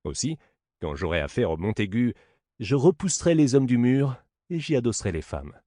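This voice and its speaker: A man's voice: mid-range voice, sing-song, moderate pace, warm tone, dry recording, clean studio sound. A man voicing a swaggering, crude servant in a stage play who brags and blusters, spoiling for a fight. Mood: crude bragging.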